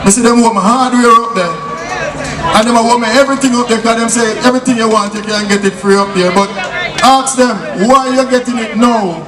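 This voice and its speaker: A man with a Jamaican accent giving a loud, exhorting political rally speech in Patois-inflected speech. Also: extremely high pitch, fairly even intonation, brisk, over music. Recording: echoey PA from far off, crowd.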